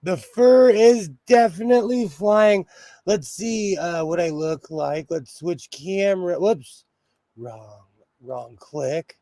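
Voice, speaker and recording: Man, higher voice, slightly hoarse, chatty livestream host, dry close sound. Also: slow pace, warm tone, no echo. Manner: chatty, apologetic, laughs often. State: slightly hoarse, losing his voice. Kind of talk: casual livestream chat.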